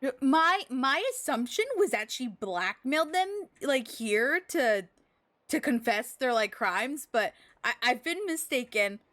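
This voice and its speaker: Slow-talking woman streamer with a high voice. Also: expressive, fairly bright tone. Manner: reacts aloud, musing to self and viewers. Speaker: game streamer. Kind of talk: casual live stream chat.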